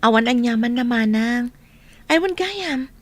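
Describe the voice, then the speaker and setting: A woman plays a sympathetic village neighbour in a radio drama. Her voice is on the high side, and she speaks with encouraging, consoling care. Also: animated, a little slow. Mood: caring. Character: Marcy, caring younger neighbour consoling a widow.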